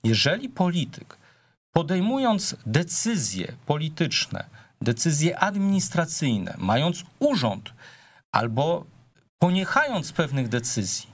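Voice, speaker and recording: A middle-aged man, a politician guest, arguing his case in a TV studio debate, measured and full of hesitations. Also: fairly high pitch, big pitch swings. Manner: measured, argumentative, with frequent hesitations.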